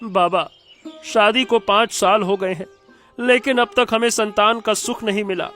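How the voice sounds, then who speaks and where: Man playing high-pitched pleading poor husband. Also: fairly even intonation, a little slow, bright, forceful tone, soft background music. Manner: deferential, hesitant, stammering. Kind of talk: Hindi cartoon moral story acting.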